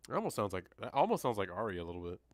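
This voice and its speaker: Male streamer, slightly low pitch, laid-back conversational style, dry close mic. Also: sing-song, very fast, no echo. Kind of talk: casual chat.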